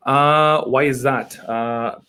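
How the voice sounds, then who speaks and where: A man's voice: medium pitch, steady tone, takes it slowly, bright, forceful tone, smooth. A man from Egypt, a real estate agent, talking as a guest in a live interview, rambling and correcting himself with enthusiasm over a video call.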